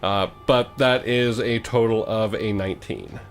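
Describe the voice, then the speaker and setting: A man running a tabletop role-playing game as game master, improvising descriptive narration in a halting way. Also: on the low side, steady tone, over music.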